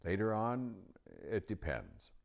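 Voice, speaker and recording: A man voicing a great lion who is calm, gentle and commanding, speaking in a measured, solemn storybook manner. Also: fairly low pitch, vocal fry, voice pushed up, full character voice. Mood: patient, firm. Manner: measured, solemn, tender toward a child.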